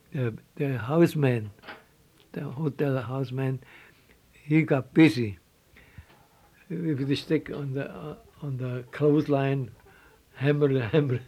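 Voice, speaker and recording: An older man from Switzerland with a Swiss German accent, telling his life story in halting English with repeated words and restarts. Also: medium pitch, dark tone. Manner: halting, repeats words, non-native phrasing. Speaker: interviewee.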